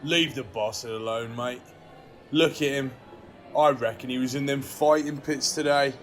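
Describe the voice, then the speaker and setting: A man playing a rough, blunt commoner in an audio drama, gruffly matter-of-fact. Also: medium pitch, steady tone, moderate pace, fairly bright tone, faint music behind, close to an everyday voice. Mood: dismissive.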